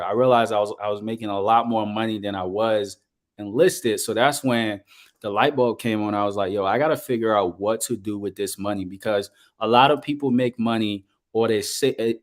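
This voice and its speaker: A man from Liberia in his thirties talks through his story as an interview guest. His voice sits fairly low, and he speaks in an earnest, measured way with plenty of "um"s. He is heard over a video call. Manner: earnest, measured, with frequent fillers. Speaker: guest, business coach. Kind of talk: casual interview talk.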